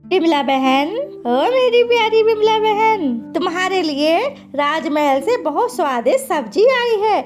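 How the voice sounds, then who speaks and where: Squeaky, greedy old granny. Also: female voice, expressive, a little slow, hardly any pauses, soft background music. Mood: wheedling. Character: greedy, meddling, wheedling old village woman. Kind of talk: animated cartoon story acting.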